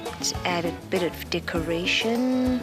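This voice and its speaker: A woman's voice: lowish voice, unhurried. A woman presenting a children's TV show, giving cheerful, patient step-by-step craft instructions. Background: music.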